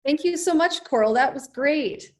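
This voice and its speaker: A woman's voice: high voice, lively intonation, normal speed. Friendly woman hosting a video call. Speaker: event host. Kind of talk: moderating an online panel.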